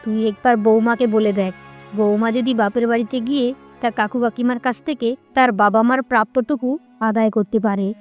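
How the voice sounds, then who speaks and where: A woman's voice: fairly high pitch, fairly even intonation, fairly quick, faint music behind, natural voice. A woman voicing a greedy, bossy middle-aged mother-in-law in a cartoon story, with a scornful, nagging manner. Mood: coaxing, sly. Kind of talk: Bengali cartoon story voice acting.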